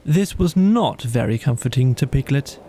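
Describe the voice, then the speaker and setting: A man's voice: medium pitch, expressive, moderate pace, dark tone, a little hiss or hum. A man narrating a children's bedtime story as an audiobook reader.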